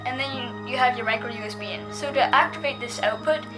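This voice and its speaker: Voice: medium pitch, fast talker, over music. A female-sounding reviewer giving a casual, unscripted product demonstration, talking in a hesitant, rambling way.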